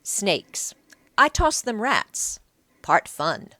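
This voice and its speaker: A woman voicing a gruff, blustering foreign man in an audiobook, barking out accusations. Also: medium pitch, wildly swooping pitch, drawn-out words, sharp tone, dry recording, pitched above the performer's own voice, heavily acted. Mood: boastful.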